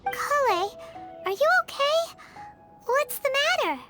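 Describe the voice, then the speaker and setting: A woman voicing a tiny, excitable, childlike fairy companion in a video game, squeaky and piercing, speaking of herself in the third person. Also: slow, heavily acted. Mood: concerned. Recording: background music under dialogue.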